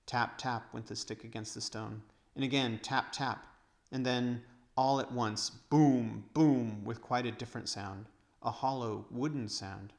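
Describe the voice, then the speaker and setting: Creaky-voiced man reading a storybook. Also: mid-range voice, fairly even intonation, measured pace. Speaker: read-aloud narrator. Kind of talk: storybook narration.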